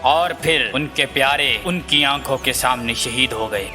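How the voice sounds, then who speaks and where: A man's voice: medium pitch, unhurried. A man giving grave, scripted narration for an audio drama. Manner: grave, solemn storytelling. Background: music score.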